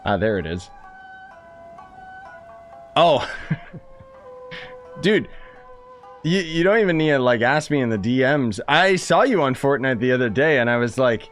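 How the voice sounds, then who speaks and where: A man's voice: medium pitch, wildly swooping pitch, unhurried, long pauses, a bit bright. A man streaming a video game, chatting in an informal, offhand way. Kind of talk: casual live stream commentary. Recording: faint game music behind the voice.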